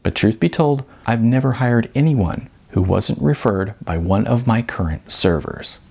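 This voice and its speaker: A man's voice: mid-range voice, animated, unhurried, vocal fry, a little mellow, slightly rough, full character voice. A man voicing a blunt, world-weary restaurant manager in an audiobook, explaining things frankly and emphatically. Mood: frank, advising.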